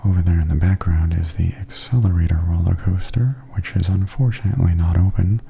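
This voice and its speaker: A man giving softly spoken ASMR tour commentary in a breathy, near-whispered, gentle and unhurried murmur. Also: low voice, steady tone.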